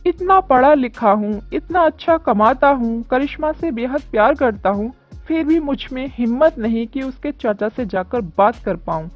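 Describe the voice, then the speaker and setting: A woman voicing a timid, earnest young man in love in an animated story. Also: sits high, moderate pace. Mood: despairing. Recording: dull lo-fi audio with music.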